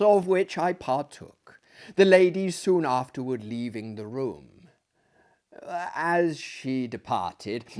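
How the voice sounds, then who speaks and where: A man in his thirties reading a literary tale aloud in a theatrical, measured way with marked pauses. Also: medium pitch, darkish tone. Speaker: performer reading a story aloud. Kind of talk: dramatic storytelling, reading a literary short story. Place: small room with armchair and bookshelves, indoors.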